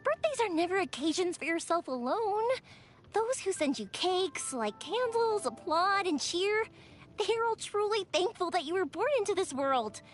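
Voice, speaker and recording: An actress voicing a cheerful, excitable young woman who makes fireworks in a video game, playful and expressive. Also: very high-pitched, moderate pace, soft background music. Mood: warm, celebratory. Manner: bubbly, playful, expressive storytelling. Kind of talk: video game character voice acting.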